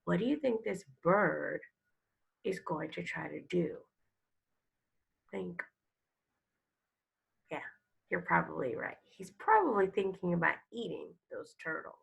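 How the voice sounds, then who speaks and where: A woman reads a children's book aloud as a schoolteacher would, taking her time and stopping to explain or prompt. Her voice is very creaky and somewhat husky, with expressive, instructive phrasing aimed at young listeners. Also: on the low side, unhurried, pauses often. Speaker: elementary school teacher. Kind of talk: picture book read-aloud with questions to young pupils.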